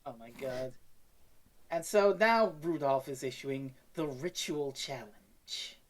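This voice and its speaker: A woman reviewer retelling a story in casual, animated, sweary chat. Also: extremely low pitch, slow pace. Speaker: podcast reviewer.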